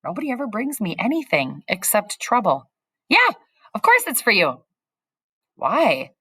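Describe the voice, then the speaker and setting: A woman's voice: mid-range voice, wildly swooping pitch, a little slow, a bit bright, breathy edge, dry recording, studio quality, voice pushed up, exaggerated voice. A woman voicing a confident, friendly schoolgirl who speaks frankly.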